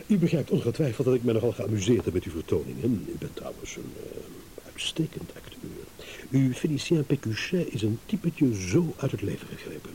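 An actor playing a shrewd, amused businessman in a radio drama, teasing and insinuating with hesitant pauses. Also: mid-range voice, mellow, natural voice, low-quality recording. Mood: amused, sly. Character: shrewd, amused businessman, a blackmail victim turning schemer. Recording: hissy old radio play recording.

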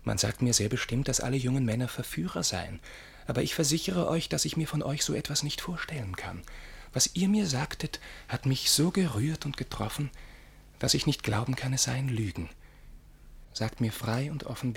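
An actor playing a boastful, naively confiding young gentleman in a German radio verse comedy, with exuberant declamation and a husky voice. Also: medium pitch, lively intonation, moderate pace, heavily acted. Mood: tender reading. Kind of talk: theatrical radio play acting in rhymed German verse.

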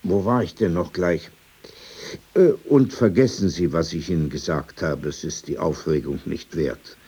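Husky, deep old man, indignant storyteller. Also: unhurried, dark tone, full character voice. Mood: dismissive, chatty. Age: older. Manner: digressive, indignant, emphatic, rhetorical questions. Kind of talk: radio play, conversational monologue.

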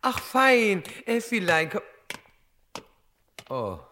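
A meek, henpecked prisoner in a vintage radio comedy with faint noise. His rather high voice jumps about as he stammers evasively. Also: wildly swooping pitch, extremely slow, sharp tone, heavily acted. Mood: sheepish. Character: meek, nervous impostor husband in prison. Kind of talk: vintage German comic radio play acting.

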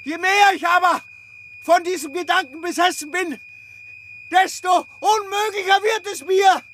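An actor playing an obsessive, tormented scholar in a radio play monologue, speaking emphatically and haltingly, repeating himself. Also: male voice, extremely high pitch, fairly bright tone, a little hiss or hum. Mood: brooding, obsessive. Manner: emphatic, repetitive, halting delivery with drawn-out words. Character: obsessive, tormented scholar consumed by his life's study.

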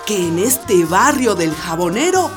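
An actress playing an assertive, sharp-witted school principal, firm, sardonic and articulate in a radio drama. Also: female voice, medium pitch, big pitch swings, a little slow, over music.

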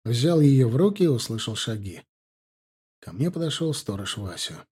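A man's voice: medium pitch, big pitch swings, moderate pace, dark tone, husky. A man reading a first-person story aloud as scripted audiobook narration. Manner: acts out dialogue with lifted, livelier delivery.

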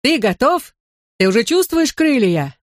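Excited boy played by audiobook narrator, raised pitch, breathless shouts of wonder. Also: unhurried, no echo, clean studio sound. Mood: excited calling. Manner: breathless, exclamatory calling out.

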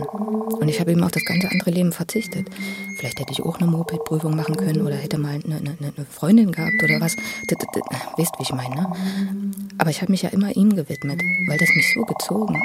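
A man, probably middle-aged, tells his own story in a husky voice, halting and repeating words with intensity. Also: fairly high pitch, heavy background noise. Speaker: interviewee telling his life story. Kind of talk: spontaneous, emotional personal storytelling.

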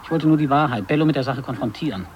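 An actor playing a defiant, bitter gag writer who argues emphatically in a radio drama. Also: male voice, mid-range voice, racing, dull audio, slight background noise, close to an everyday voice. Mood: pleading, defensive. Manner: emphatic, argumentative. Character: defiant, bitter male gag writer, agitated and self-justifying. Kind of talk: 1980s German radio drama acting.

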